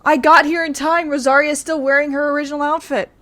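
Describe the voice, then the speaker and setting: A woman's voice: high voice, flat delivery, fast talker, a bit bright, slight background noise. Chatty woman doing let's play commentary. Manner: chatty, rambling. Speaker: game streamer.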